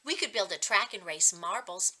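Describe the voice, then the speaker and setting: A woman's voice: medium pitch, lively intonation, moderate pace, bright, forceful tone. A woman voicing a chatty, eager young sister in a storybook, insistent and full of questions.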